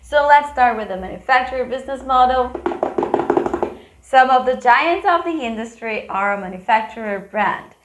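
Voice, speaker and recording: Brazilian woman teaching a business lesson, kinda high voice, playful side comments, echoey room. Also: animated, moderate pace, loud music behind the voice. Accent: Brazilian Portuguese. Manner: playful, chatty asides to the viewer. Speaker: business educator. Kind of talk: scripted explainer lesson.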